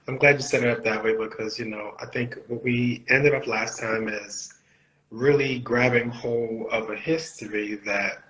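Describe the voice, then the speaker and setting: A man's voice: mid-range voice, fairly even intonation, normal speed, a bit bright, low-quality recording. A man from the Bahamas whose first language is Haitian Creole, co-hosting a casual podcast and talking reflectively with many "you know" fillers. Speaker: podcast co-host.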